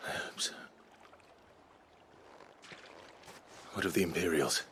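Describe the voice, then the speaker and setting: A man's voice: low voice, fast talker, fairly bright tone, a little hiss or hum. An actor playing a grave, earnest young swordsman in a dramatic game cutscene. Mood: solemn.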